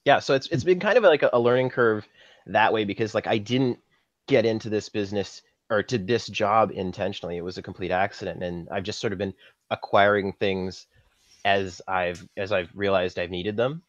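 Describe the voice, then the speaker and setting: A Canadian man talks casually in a fairly low voice, recounting things as an interview guest. The sound is slightly dull and lo-fi. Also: normal speed. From Saskatchewan, Canada. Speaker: guest, film props master. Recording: dull lo-fi audio, slightly roomy.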